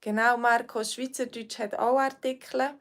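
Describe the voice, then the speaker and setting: A woman's voice: on the high side, steady tone, normal speed, fairly bright tone. A Swiss woman with a Swiss accent, a language teacher answering viewers' questions on a livestream, friendly and hesitant, with frequent fillers. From Switzerland.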